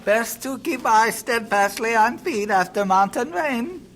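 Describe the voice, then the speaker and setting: A jovial old porter, played by a man, talks in slow, sing-song broken English, full of little proverbs and chuckles. His voice sits high and takes its time. Faint outdoor ambience hangs behind him in this radio drama. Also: animated, fairly bright tone, a little hiss or hum, exaggerated voice. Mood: wry, cheerful. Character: jovial Chinese mountain porter, older man, wry and proverbial.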